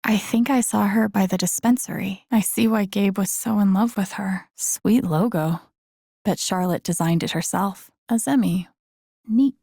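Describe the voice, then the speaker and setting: A woman playing a thoughtful, wry young game protagonist, musing to herself in low-key introspective lines. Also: a little slow, creaky, mellow, slightly rough, no room sound, studio quality. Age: young adult.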